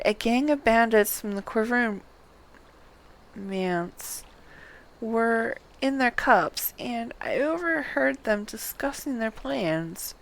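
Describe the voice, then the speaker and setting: A woman's voice: on the high side, creaky, exaggerated voice, low-quality recording. A woman voicing an anxious townsperson in a fantasy game, confiding a secret with urgency.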